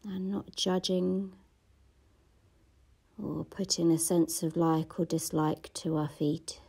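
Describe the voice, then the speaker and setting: A woman guiding a gratitude body scan meditation, speaking softly and slowly and often dropping into a half-whisper. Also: fairly low pitch, fairly even intonation, creaky, breathy edge. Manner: slow, soft-spoken, often half-whispered. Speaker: meditation guide. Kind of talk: guided meditation.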